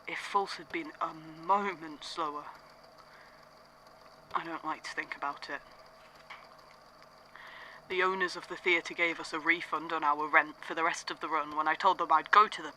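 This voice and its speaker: An actor playing a shaken young man giving a subdued, halting statement in a fan audio drama. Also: on the high side, fairly even intonation, bright, forceful tone, slight background noise, low-quality recording. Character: shaken young man recounting theatre disaster. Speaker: statement giver.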